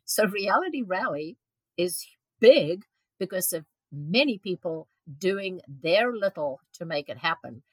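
A woman's voice: on the low side, animated, measured pace, breathy edge, dry recording. A woman in her seventies from South Africa, a guest answering interview questions earnestly, with frequent ums and restarts. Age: 70s. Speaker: guest, event organizer.

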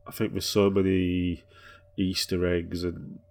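A man co-hosting a podcast, telling rambling, relaxed stories in casual chat. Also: low-pitched, a little slow, vocal fry. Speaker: podcast co-host.

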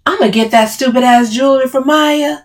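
A woman's voice: a little high, lively intonation, takes it slowly, voice pushed up. A woman voicing an overbearing, confrontational woman in dramatic audiobook dialogue, emphatic and bossy. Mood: angry, swearing. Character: Camille, overbearing, furious, taunting woman, bossy cousin.

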